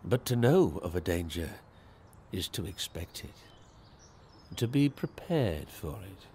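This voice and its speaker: An actor playing a worldly, measured male sleuth, narrating an audio drama in a slow, deliberate storytelling manner. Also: sits low, sing-song, a little mellow, slightly rough, exaggerated voice. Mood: grave, reflective.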